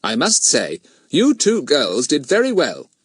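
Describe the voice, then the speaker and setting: A man voicing a confident, self-pleased schoolboy leader in a children's storybook, brisk and instructive. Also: a little high, wildly swooping pitch, husky, dry recording, studio quality, voice pushed up, heavily acted.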